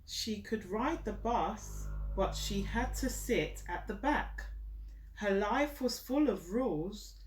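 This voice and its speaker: A woman reading a children's book aloud in an encouraging, teacherly way, pausing to prompt a young listener. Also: medium pitch, lively intonation. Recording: roomy echo, distant mic, faint noise.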